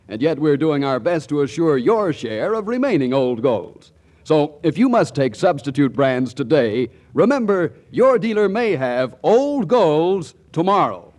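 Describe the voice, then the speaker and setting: Lively 1940s radio announcer man. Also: a little high, unhurried. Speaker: announcer and cigarette pitchman. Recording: old 1940s radio broadcast.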